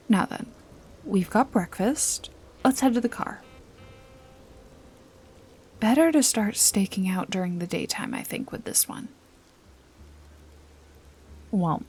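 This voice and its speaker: Noir ASMR detective, female performer: sly, bossy woman, soft breathy delivery at a slow pace, dry teasing wit. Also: mid-range voice. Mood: brisk, wry. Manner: wry, teasing, coaxing, breathy asides. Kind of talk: noir mystery ASMR roleplay, scripted, addressed to listener.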